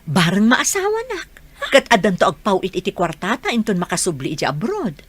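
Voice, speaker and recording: A woman's voice: medium pitch, wildly swooping pitch, a little fast, husky, no room sound. Swooping, scheming Ilocano mother. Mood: scheming, insistent. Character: scheming, money-minded mother, brash and flirtatious. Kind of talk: radio drama acting. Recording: background music under the voice.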